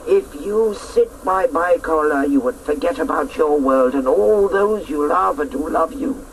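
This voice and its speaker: An actor playing a stern, sorrowful guardian of a mountain crag, with slow, dramatic delivery in a radio play. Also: male voice, fairly high pitch. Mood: troubled, grave. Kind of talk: theatrical radio play acting. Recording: noisy old radio play recording.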